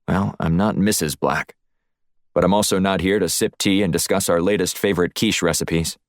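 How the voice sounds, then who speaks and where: A man's voice: low voice, steady tone, moderate pace, no room sound, clean studio sound, natural voice. A man voicing a cool, wry male secret agent in an audiobook, terse and unflustered. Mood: wry, defiant. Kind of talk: audiobook character voice.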